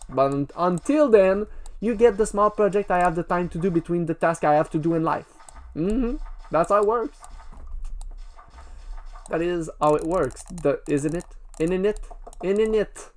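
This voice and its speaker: A man's voice: sits high, measured pace, darkish tone. Rambling, playful man narrating gameplay. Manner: rambling, playful, talks straight to viewers. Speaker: gaming video creator. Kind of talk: casual gameplay commentary.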